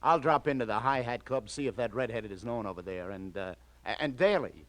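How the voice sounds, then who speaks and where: Guy doing a streetwise 1940s radio cop, mid pitch, wisecracks, pretty dry recording. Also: animated, a little fast, fairly bright tone, dry recording, natural voice. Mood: brisk reassuring. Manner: wisecracking, breezy, chuckling asides. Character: wry, streetwise New York police detective lieutenant. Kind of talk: 1940s radio acting, noir narration.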